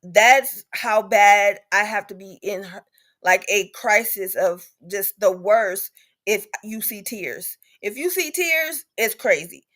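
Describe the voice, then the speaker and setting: A woman's voice: medium pitch, takes it slowly, a bit bright. A woman in her thirties speaks in a candid panel discussion. Her delivery is emphatic, and she often repeats a phrase to drive a point home. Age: 30s. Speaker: patient advocate on a panel.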